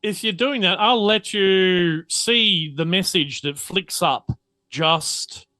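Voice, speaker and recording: A man's voice: high-pitched, takes it slowly, sharp tone, no echo. A man narrating a tabletop roleplaying game as game master, hesitantly thinking aloud as he goes.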